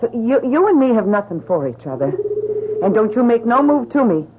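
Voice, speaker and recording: A woman's voice: on the high side, very animated, a little fast. An actress playing a shy, plainspoken young mountain woman with a rural American accent, speaking hesitantly and folksily in a radio drama. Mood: firm, nervous. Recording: noisy, narrow-band old radio recording.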